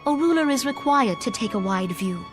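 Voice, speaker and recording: An actress playing a solemn, wise female leader in a fantasy game, speaking in a measured, stately way. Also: fairly high pitch, expressive, music in the background, close to an everyday voice. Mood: concerned, grave. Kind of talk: formal fantasy game voice acting.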